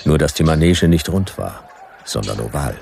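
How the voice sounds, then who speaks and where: A man's voice: rumbling low, animated, moderate pace, gravelly, a little mellow, a little hiss or hum, heavily acted. A man playing a hard-boiled private detective, narrating a radio play in dry, clipped first-person sentences. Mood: dry, wry.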